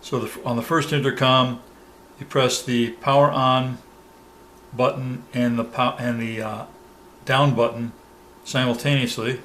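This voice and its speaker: A man presenting a hands-on product tutorial step by step, pausing mid-sentence as he demonstrates. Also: medium pitch, level tone, a bit bright. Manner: halting, pauses mid-sentence while demonstrating. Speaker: product reviewer giving a demonstration. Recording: clear background noise, room ambience.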